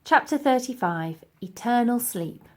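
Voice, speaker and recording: A woman reads a children's story aloud as narrator. Her voice is fairly high and very lively in pitch, and she takes her time, telling it expressively at a slow, deliberate pace. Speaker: audiobook reader.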